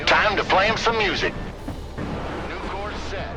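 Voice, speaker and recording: An actor playing a cool, cocky military pilot giving terse, confident radio callouts in a strategy game. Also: male voice, sits high, a little slow, loud music behind the voice. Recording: very loud combat noise behind.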